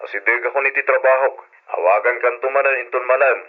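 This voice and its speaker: A man's voice: medium pitch, fairly even intonation, fast talker, close to an everyday voice. A man playing an affectionate, respectful young father in a radio drama, with a mild manner. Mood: affectionate, easygoing. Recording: dull lo-fi radio recording.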